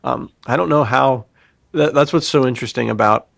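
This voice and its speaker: Low gravelly guy hosting a podcast, super effusive and informal, dry close sound. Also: brisk, a bit bright, dry recording. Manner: effusive, informal, says "dude". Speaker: podcast host and fiction author. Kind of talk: casual podcast chat about writing.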